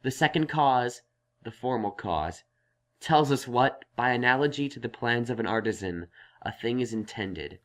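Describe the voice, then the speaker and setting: A man voicing a studious, earnest male pony scholar in a story, reciting in a measured way. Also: mid-range voice, animated, a bit bright, pitched above the performer's own voice, lightly acted. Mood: studious, absorbed. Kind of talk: dramatized fan fiction audiobook.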